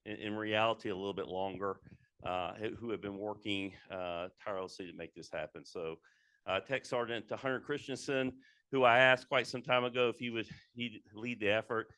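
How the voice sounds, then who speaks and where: A middle-aged man, a retiring military officer, giving an informal ceremony speech in a loud voice, with many fillers and restarts. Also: lowish voice, steady tone, moderate pace, dry recording. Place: indoor ceremony venue.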